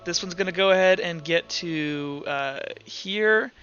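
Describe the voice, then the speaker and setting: High, bright-voiced man running a game. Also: unhurried, muffled recording, soft background music. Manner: casual, thinks aloud, counts out dice results. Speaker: game master. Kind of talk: running a tabletop roleplaying game, improvised rules talk and narration.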